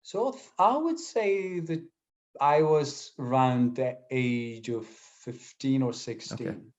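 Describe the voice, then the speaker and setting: A young man from Syria, Kurdish by mother tongue, talks through an interview in English, halting often with fillers and restarting his phrases. His voice sits at a middle pitch. The audio is a little dull and lo-fi. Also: a little mellow. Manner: hesitant, many fillers and restarts. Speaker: guest, English teacher. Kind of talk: casual interview conversation.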